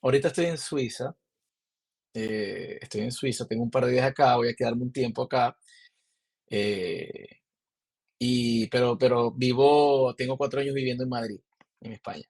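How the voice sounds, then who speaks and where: Venezuelan film director guest in his 30s, gravelly husky voice, rambling storyteller. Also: medium pitch, normal speed, a little mellow. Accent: Maracaibo Venezuelan. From Zulia, Venezuela. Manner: rambling, frequent restarts and filler words. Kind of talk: casual interview storytelling. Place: remote call from abroad.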